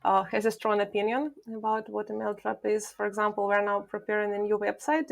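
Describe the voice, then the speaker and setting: A woman from Ukraine, a product manager answering questions thoughtfully as a podcast guest, pausing often with filler sounds. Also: mid-range voice, creaky. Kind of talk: podcast interview.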